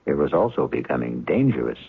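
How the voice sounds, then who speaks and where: Deep wry guy narrating a radio mystery, swoopy delivery, old thin radio sound. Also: big pitch swings, gravelly, slightly rough, no echo. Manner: wry, measured, addressing listeners. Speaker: host and narrator. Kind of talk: radio drama host narration. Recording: tinny old radio broadcast.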